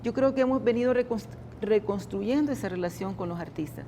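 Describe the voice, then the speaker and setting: Halting woman official, mellow voice. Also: mid-range voice, slight background noise. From El Salvador. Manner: halting, many restarts and repeated words. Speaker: government culture secretary, interview guest. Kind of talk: interview answers.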